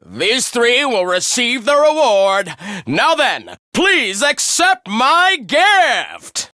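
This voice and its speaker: A man's voice: very high-pitched, wildly swooping pitch, drawn-out words, sharp tone, no room sound, studio quality, full character voice. A man voicing a smug, theatrical young male student in a video game, mock-polite and taunting. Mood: mock-gracious. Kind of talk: English video game voice acting.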